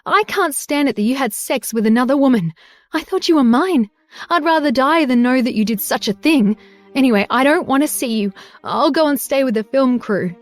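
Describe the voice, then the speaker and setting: A woman voicing a distraught young wife who pleads in a voice that climbs high when she is upset. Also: lively intonation, fairly quick, few pauses, no room sound. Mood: furious.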